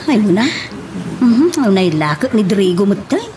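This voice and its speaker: A woman's voice: medium pitch, very animated, unhurried, dark tone, slight background noise. A woman acting emotional, outspoken characters in an Ilocano audio drama. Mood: consoling, advising.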